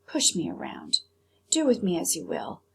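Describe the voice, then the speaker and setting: A woman's voice: lowish voice, big pitch swings, normal speed, vocal fry, bright, forceful tone, slightly rough, exaggerated voice, rough recording. A woman voicing the thoughts of a weary, despairing male toy rabbit in a storybook. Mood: resigned, bitter.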